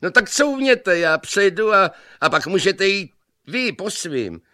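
Muž hraje drzého, netrpělivého a vychloubačného mladíka, který v povídce huláká a vytahuje se. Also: male voice, lowish voice, huge pitch swings, bright, forceful tone, dry recording, clean studio sound, heavily acted. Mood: impatient, boastful. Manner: hollering, cocky. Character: brash long-haired young man called Luck, boastful, impatient.

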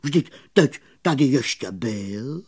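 A woman's voice: rumbling low, lively intonation, warm tone, husky, full character voice. A woman voicing a grumpy male bumblebee in a children's story, with a grumbling, mumbled, plain-spoken delivery. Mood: surprised.

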